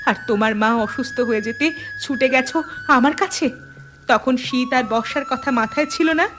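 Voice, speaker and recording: Lady doing a wronged young wife in a cartoon drama, highish voice, bitter and indignant, music under it. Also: normal speed, lightly acted. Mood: angry, accusing. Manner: accusing, indignant, emphatic. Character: wronged, bitter young daughter-in-law, unforgiving new mother. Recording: music behind the voice.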